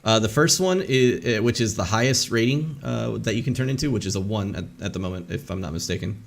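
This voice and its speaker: Man running a tabletop game, halting. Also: fairly low pitch, creaky. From English-speaking country. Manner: casual, halting narration with many fillers. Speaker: game master. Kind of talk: narrating a tabletop game over voice chat.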